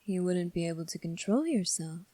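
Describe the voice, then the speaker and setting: A woman voicing a composed, coolly defiant young fantasy prince in an ASMR roleplay, speaking formally and deliberately with long pauses. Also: fairly low pitch, lively intonation, mellow, no room sound. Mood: slow, suggestive.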